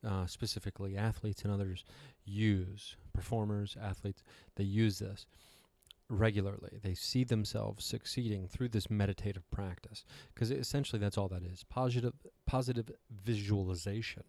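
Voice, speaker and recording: A man with a slightly raspy voice, giving a casual, rambling talk with wry, self-deprecating asides. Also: on the low side, steady tone, normal speed, a little mellow, no echo. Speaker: host giving a talk on meditation. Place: hotel room.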